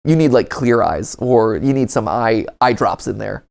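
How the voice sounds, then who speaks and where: A man's voice: on the low side, lively intonation, moderate pace, creaky. A man doing casual reaction commentary, reading on-screen text aloud and adding sarcastic, joking asides and exclamations. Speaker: reaction video host. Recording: music behind the voice.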